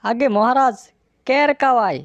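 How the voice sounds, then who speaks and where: A woman's voice: fairly high pitch, normal speed, no echo, muffled recording, lightly acted. A woman voicing a wily, fawning male fox who sells cattle in a village cartoon. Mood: fawning, evasive. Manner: fawning, sly, evasive. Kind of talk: cartoon dialogue.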